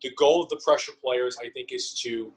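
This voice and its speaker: A man with a mid-pitched voice answers in a casual interview over a video call. He is a theater company member, earnest and a bit tentative as he lays out his thoughts. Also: brisk.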